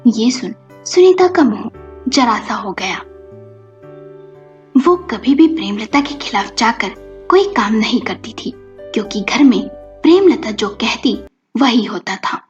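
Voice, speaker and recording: A woman's voice: on the high side, animated, measured pace, long pauses. A woman narrating a scripted story as a storyteller. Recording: dull lo-fi audio with music behind. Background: music.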